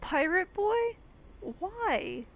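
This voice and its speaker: High-voiced, sarcastic woman game streamer. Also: sing-song, drawn-out words, slight background noise. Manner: sarcastic, quippy. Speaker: game streamer commenting on play. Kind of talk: casual joking commentary. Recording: dull mic with game music behind.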